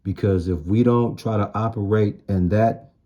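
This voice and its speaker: A man's voice: on the low side, steady tone, moderate pace, mellow, highs cut off. A man giving an informal sermon in blunt, streetwise slang, repeating phrases for emphasis. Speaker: street-style preacher.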